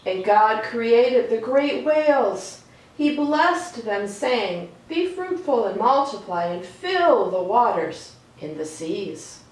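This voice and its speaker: A woman reading aloud for a children's storytime in a slow, deliberate, expressive way. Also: on the high side. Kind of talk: read-aloud storytime with chatty asides. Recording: echoey room, distant mic, faint noise.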